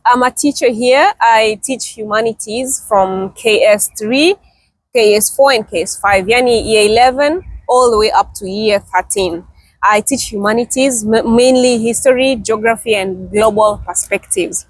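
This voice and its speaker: A woman's voice: on the high side, normal speed, no room sound, low-quality recording. A woman from Kenya, a guest teacher, telling her story in a friendly, chatty interview and laughing often. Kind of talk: casual interview chat. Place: outdoors in the wind.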